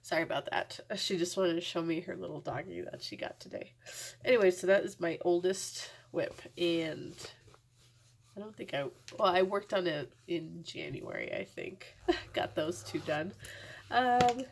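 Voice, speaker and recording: A woman's voice: lowish voice, normal speed, gravelly. A woman with a cold, vlogging casually at home, rambling with many ums and sometimes dropping to a near-whisper. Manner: drops to a near-whisper at times. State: sniffly with a cold. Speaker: cross-stitch vlogger. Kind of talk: casual chat to camera.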